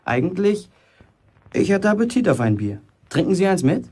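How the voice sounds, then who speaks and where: A man's voice: high voice, sing-song, moderate pace, dark tone, slightly rough, full character voice. A man acting a cocky, grumbling fifteen-year-old apprentice bricklayer in a German radio play, self-important in his way of talking. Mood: polite, restrained. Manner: boastful, indignant, self-important.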